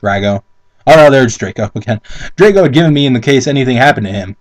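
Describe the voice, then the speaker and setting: A man's voice: mid-range voice, animated, fast talker. A man doing a mock dramatic reading with casual commentary, incredulous, sweary and theatrical. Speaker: amateur commentator reading fan fiction aloud.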